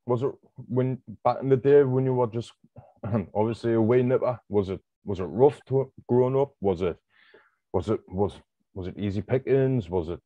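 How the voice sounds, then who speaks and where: A man's voice: medium pitch, no room sound. A podcast host in his thirties puts questions to his guest, often stopping and starting over before he gets them out. His voice comes through a little dull and muffled, and the sound is dry with no room around it. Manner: halting, restarts questions. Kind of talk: interview questions. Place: home studio room.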